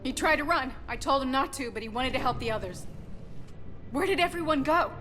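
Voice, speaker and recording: An actress playing an earnest, shaken archaeologist in a video game, speaking hesitantly and thoughtfully. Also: female voice, high-pitched, lively intonation, fairly quick. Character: earnest, shaken female archaeologist, an excited scholar. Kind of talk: video game cutscene acting. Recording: very loud game music behind the voice.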